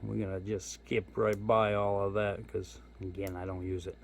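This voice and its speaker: A man's voice: on the low side, level tone, moderate pace, vocal fry, slight background noise. A man giving a casual hands-on product walkthrough as a hobbyist reviewer, informal and thinking aloud as he goes. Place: indoors at home.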